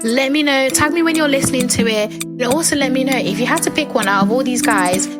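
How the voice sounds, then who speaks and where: A young woman talks on her own podcast, her voice sitting fairly high. She is chatty and animated, leaping up in pitch when she acts out what others said. Her voice has gone slightly hoarse from talking so long. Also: a little fast, over loud music. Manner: animated, chatty, acts out quoted lines with big pitch jumps. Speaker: podcast host. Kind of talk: casual solo storytelling.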